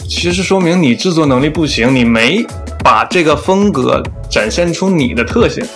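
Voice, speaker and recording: Man, podcast co-host, fairly high voice, casual, critical and opinionated, soft music underneath. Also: animated, normal speed. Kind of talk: casual chat.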